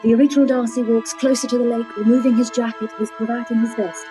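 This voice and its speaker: Calm woman reading stage directions. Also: on the high side, quick pace, over music. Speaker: narrator.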